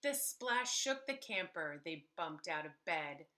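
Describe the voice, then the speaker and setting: A woman reading a story aloud to young children like a preschool teacher, animated and emphatic, pausing to explain things. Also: low-pitched.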